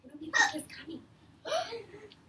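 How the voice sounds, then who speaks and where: Animated, high-voiced woman reading to young children. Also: brisk. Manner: expressive, encouraging, patient with children. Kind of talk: animated picture-book read-aloud with questions to children. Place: indoor room with a group of young children. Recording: roomy, distant mic, faint background noise.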